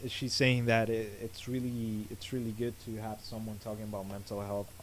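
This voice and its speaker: A man's voice: fairly low pitch, little pitch movement, normal speed, slight background noise. A man co-hosting a podcast, talking casually with frequent fillers and restarts. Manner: hesitant, stammering, frequent fillers. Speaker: podcast co-host. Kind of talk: casual chat.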